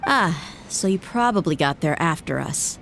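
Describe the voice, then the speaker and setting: An actress voicing a tough, reflective female mercenary in a video game, speaking calmly and candidly. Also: low voice, normal speed, vocal fry, soft background music, full character voice.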